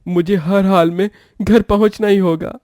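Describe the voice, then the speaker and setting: A man acts out a poor young street-food seller in a cartoon moral tale. His voice sits fairly high and is recorded dry and close. He is earnest and plaintive, with melodramatic delivery. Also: steady tone, measured pace, a little mellow, dry recording. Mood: earnest, worried. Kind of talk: Hindi cartoon moral story acting.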